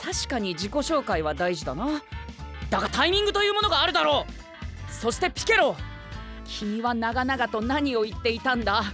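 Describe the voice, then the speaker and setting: A man playing a haughty, fussy young gentleman knight in an anime-style audio drama, boyish and theatrical, peppering his speech with English exclamations. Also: very high voice, very animated, moderate pace, heavily acted. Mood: indignant. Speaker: voice actor. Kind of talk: animated comedic character banter. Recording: studio voice over prominent background music.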